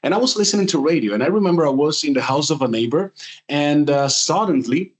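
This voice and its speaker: A man in his forties from the Dominican Republic with a Dominican Spanish accent, a guest in an interview who explains things with many pauses and restarts. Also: a little high, animated. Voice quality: hesitant, many fillers and restarts. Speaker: guest, voiceover artist and music producer. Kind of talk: casual interview talk.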